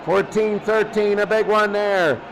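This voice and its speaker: High-pitched, slow-paced male football announcer. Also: fairly even intonation, a little hiss or hum, poor audio. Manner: short clipped phrases, repeats himself for emphasis. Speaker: play-by-play announcer. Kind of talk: American football play-by-play commentary.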